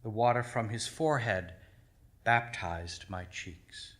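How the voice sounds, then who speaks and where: A man reading his own short story aloud on a small theater stage, measured, his voice dropping to a hush at the ends of lines. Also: on the low side. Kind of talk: live literary reading.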